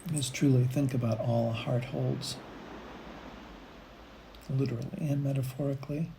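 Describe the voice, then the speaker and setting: A woman leading a guided meditation, speaking in unhurried phrases with long pauses between them. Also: rumbling low, fairly even intonation, creaky, noisy background. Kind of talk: guided meditation, gentle imagery narration.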